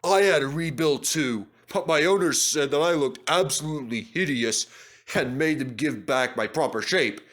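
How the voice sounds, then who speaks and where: A man's voice: a little high, normal speed, fairly bright tone, natural voice. A man voicing a famous, self-satisfied steam engine, a genial and confiding elder brother in a children's story. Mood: genial, proud.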